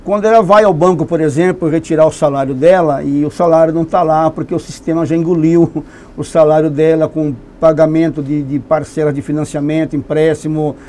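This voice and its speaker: A man's voice: a little high, fairly even intonation, a little fast, a little mellow. A man from a consumer protection agency, answering interview questions in a TV studio with explanatory, emphatic speech. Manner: explanatory, stresses key points.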